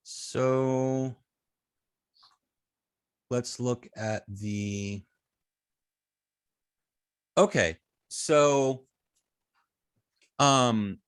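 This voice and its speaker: A man teaching informally as an instructor, thinking aloud with halting, repeated and restarted phrases. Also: mid-range voice, clear, resonant tone, no echo, studio quality. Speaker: web design instructor.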